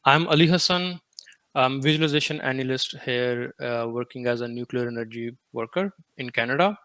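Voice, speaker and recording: A young man from Pakistan hosts a casual podcast, his voice at a middle pitch and recorded dry and close. He talks haltingly, with plenty of fillers, and slips between English and Hindi-Urdu. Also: a bit bright, no echo. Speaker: podcast host.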